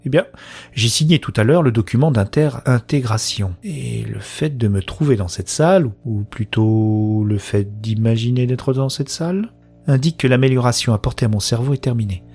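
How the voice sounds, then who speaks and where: Guy playing an awestruck woman telling a sci-fi audio drama, bit raspy, earnest, soft music behind. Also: medium pitch, moderate pace. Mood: expectant. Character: wonderstruck woman newly linked to a shared consciousness. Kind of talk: first-person science-fiction audio drama narration.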